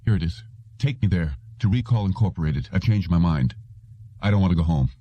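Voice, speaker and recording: Man reading as an indignant, deep-voiced clerk. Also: lively intonation, fairly quick, no echo, dull audio. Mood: confused, urgent. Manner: sardonic, agitated. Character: indignant, confused male clerk, short-tempered and demanding.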